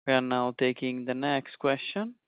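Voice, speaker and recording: Male conference operator, mid-pitched, reading formulaic queue announcements, dry and slightly lo-fi. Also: moderate pace, smooth, no room sound. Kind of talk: scripted call announcements. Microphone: conference call line. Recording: dull, dry phone line.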